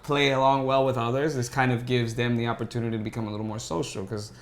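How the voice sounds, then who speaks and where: A man's voice: medium pitch, flat delivery, fast. A man chatting casually and informally as a podcast guest who organizes gaming tournaments. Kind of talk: casual chat.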